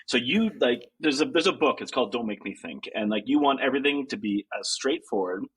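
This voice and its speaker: A man's voice: medium pitch, animated, a little fast, slightly rough, low-quality recording. A man, a podcast guest, talking casually and filling his sentences with "like". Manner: chatty, leans on "like", laughs easily. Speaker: guest, ecommerce consultant.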